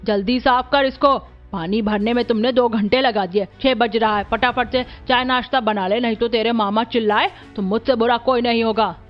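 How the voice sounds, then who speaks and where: A woman's voice: a little high, fairly even intonation, normal speed, hardly any pauses, muffled recording, close to an everyday voice. Mean nagging aunt done by a woman in a cartoon, harsh n bossy, music under it. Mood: scolding, threatening. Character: cruel, scolding middle-aged aunt bullying her orphaned nieces. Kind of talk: Hindi animated family story dialogue.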